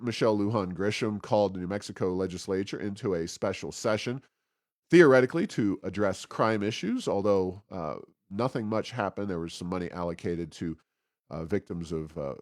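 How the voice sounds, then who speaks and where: A man hosting a podcast, giving conversational political commentary with frequent pauses mid-sentence. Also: lowish voice, darkish tone, dry recording, clean studio sound. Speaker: podcast host.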